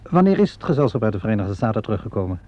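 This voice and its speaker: An actor playing a calm, courteous and dryly wry police inspector, questioning politely in an old radio play. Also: male voice, lowish voice. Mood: inquiring. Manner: measured, polite questioning with hesitant interjections. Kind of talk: vintage Dutch radio play acting. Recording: dull lo-fi vintage radio recording.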